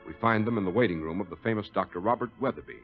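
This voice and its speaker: A man's voice: on the low side, racing, soft background music. A man with an American accent announcing in the polished scripted style of 1940s radio. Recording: muffled vintage radio recording.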